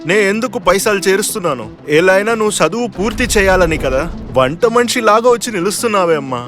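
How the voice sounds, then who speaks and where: A man voicing a weary, worried father, a poor cook, in an animated moral story. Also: sits high, fast, soft background music. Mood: disapproving.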